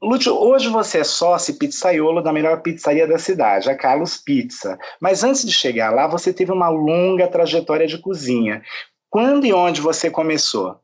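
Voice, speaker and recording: A man's voice: on the high side, lively intonation, normal speed. A man hosting a food interview, introducing his guest and asking leading questions.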